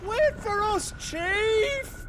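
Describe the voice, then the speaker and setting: Casting: meek, anxious henchman, very high and wavering in pitch, pleading, game cutscene. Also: animated, drawn-out words, heavy background noise, heavily acted. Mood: frightened. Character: timid, obedient male underling of a gang chief. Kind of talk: video game cutscene acting.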